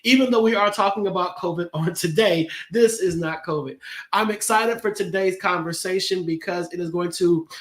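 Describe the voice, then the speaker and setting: A man's voice: high-pitched, normal speed, roomy sound. A man in his fifties hosting a show, sounding congested, with an upbeat, chatty and informal manner. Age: 50s. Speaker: host. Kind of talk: casual show opening.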